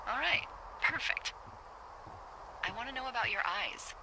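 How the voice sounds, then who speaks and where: Over a walkie-talkie with clear noise behind it comes a middle-aged American woman who supervises fire lookouts. She is playfully sardonic and conversational, teasing in an easy, natural video game style. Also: on the high side, lively intonation, unhurried. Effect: walkie-talkie radio. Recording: radio sound with clear background noise.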